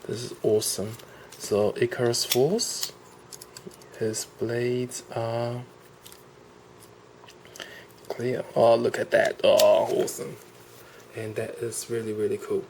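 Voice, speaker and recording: A man's voice: mid-range voice, little pitch movement, takes it slowly, long pauses, darkish tone, a little hiss or hum. A man with a cold reviewing a toy in a casual, gushing, enthusiastic way, recorded indoors on a camera mic. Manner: enthusiastic, gushing, repeats "awesome" and "really". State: has a cold.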